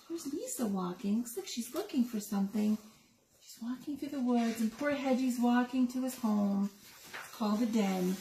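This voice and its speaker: A woman's voice: a little high, mellow. A woman doing a picture book read-aloud for young children, asking her listeners questions and exclaiming over the pictures. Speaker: story time reader. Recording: roomy echo with noticeable background noise.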